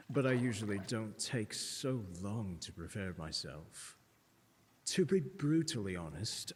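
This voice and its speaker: A man playing a haughty, condescending, seductive scholar in an ASMR audio roleplay, speaking in a pompous, teasing drawl with deliberate emphasis. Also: fairly low pitch, slightly rough, heavily acted. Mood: welcoming, coaxing. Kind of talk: ASMR roleplay, scripted lecture to the listener. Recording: long hall-like reverb.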